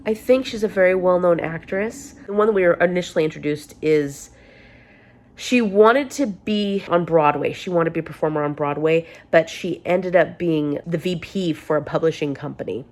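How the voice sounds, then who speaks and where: A chatty, upbeat woman with a fairly low voice, talking casually to her camera in a rambling way. She sounds a little congested, with a sore throat. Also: normal speed, a little mellow. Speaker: book vlogger.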